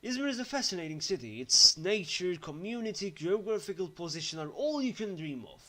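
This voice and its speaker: A man's voice: high-pitched, big pitch swings, brisk, pushed and bright. A young man with a Turkish accent presenting his home city in a scripted video. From Turkey. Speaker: student presenter.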